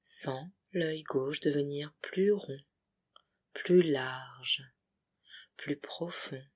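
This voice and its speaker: A yoga nidra guide leading a relaxation in short, separated phrases, soothing and hushed, with a breathy voice that at times nears a whisper. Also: medium pitch, drawn-out words.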